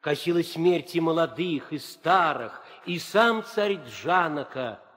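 An actor playing a grave, mournful storyteller, declaiming epic verse for an audio play. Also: male voice, a little high, expressive, takes it slowly, fairly bright tone. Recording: reverberant 1960 audio play record.

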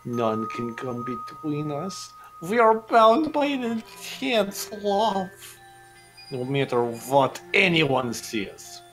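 A man voicing a creepy, lovesick young man with a theatrical, drawn-out delivery in a game fan dub. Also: a little high, big pitch swings, a bit bright, faint music behind, full character voice. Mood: yearning, reverent. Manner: slow, dramatic, drawn-out delivery.

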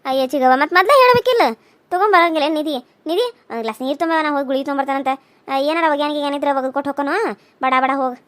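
A kindly middle-aged visitor fusses and coaxes in a brisk voice, put on by a woman. Her tone sits very high, concerned and quick. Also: fairly bright tone.

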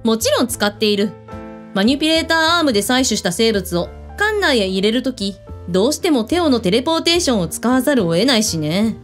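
A woman's voice: a little high, sing-song, fast talker, soft background music. An actress in a radio drama playing a breezy, blunt female hotel owner who speaks with authority. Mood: explanatory, confident.

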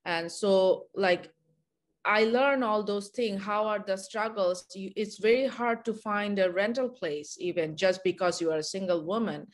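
A woman filmmaker with a Bangladeshi accent, from Bangladesh, answering in a webinar interview over a video call, halting, with many filler sounds. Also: mid-range voice. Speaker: documentary filmmaker, guest.

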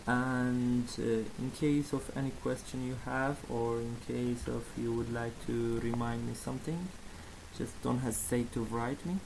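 Slide presenter, non-native English speaker, creaky voice, halting read, noisy amateur recording. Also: mid-range voice, fairly even intonation. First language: not English.

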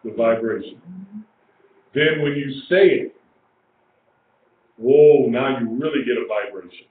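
A man's voice: mid-range voice, unhurried. An older man in his sixties teaches scripture informally to a small group. He is emphatic and restates his phrases. The sound is thin and narrow, with room echo and faint noise behind him. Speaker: religious teacher. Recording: echoey, tinny lo-fi webcam stream with hiss.

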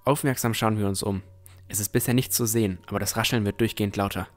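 Ein Mann spielt einen vorsichtigen jungen Entdecker, der sein Fantasy-Abenteuer bedacht und ernsthaft in der Ich-Form erzählt. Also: male voice, on the low side, fairly even intonation, quick pace, soft background music, natural voice. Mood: wary. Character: cautious, thoughtful young male explorer narrating his adventure. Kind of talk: first-person scripted narration in fantasy audio drama.

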